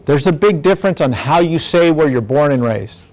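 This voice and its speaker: A man's voice: on the high side, animated, moderate pace, darkish tone, rough recording. Plainspoken middle-aged man, presenting informally. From National City, San Diego area, United States. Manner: plainspoken, direct, acts out imagined dialogue. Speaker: law enforcement community speaker on gang prevention. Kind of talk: informal community presentation to parents.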